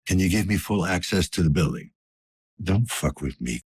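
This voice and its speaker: Very husky, low, weary detective. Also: male voice, expressive, normal speed, full character voice. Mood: wry, weary. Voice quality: gravelly, husky, breathy rasp. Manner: world-weary, terse, dry. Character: weary, gruff older male cyberpunk police detective. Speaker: voice actor in a video game. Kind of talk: scripted game character dialogue.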